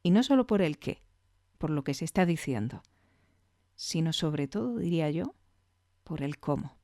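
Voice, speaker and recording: A woman's voice: low-pitched, expressive, normal speed, warm tone, no room sound. Female podcast host, Castilian accent from Spain, chatty reviewer delivery, very dry sound. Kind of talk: conversational podcast commentary.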